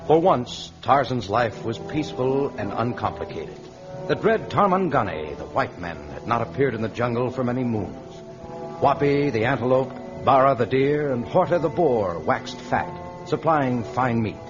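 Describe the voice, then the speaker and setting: Male storyteller: mid-range, lively pitch, old radio narration on a muffled recording with music underneath. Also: normal speed.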